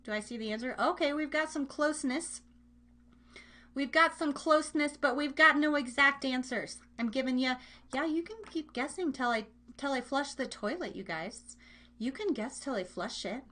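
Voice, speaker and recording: High-voiced playful woman hosting live online radio. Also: moderate pace, sharp tone. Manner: playful, rambling, repeating phrases. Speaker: host of a live internet radio show. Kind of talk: casual live game-show chat.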